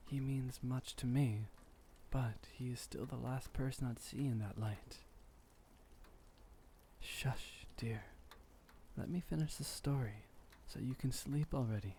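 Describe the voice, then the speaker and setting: A man playing a gentle, refined, centuries-old vampire boyfriend in intimate ASMR roleplay, soft-spoken and soothing with formal wording. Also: medium pitch, takes it slowly, slight background noise. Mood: tenderly teasing.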